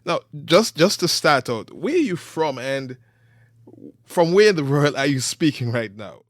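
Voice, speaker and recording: A man hosting an interview show, introducing guests and asking clear, earnest questions. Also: fairly high pitch, very animated, unhurried, no echo, studio quality. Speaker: host.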